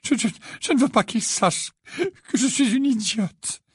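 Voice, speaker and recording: A distraught mother in a storybook, acted out by a male narrator, sobbing and trembling with fear. The voice is very high and husky, halting and stammering as it wails, recorded dry and close. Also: dry recording, full character voice. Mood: tearful, ashamed. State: crying.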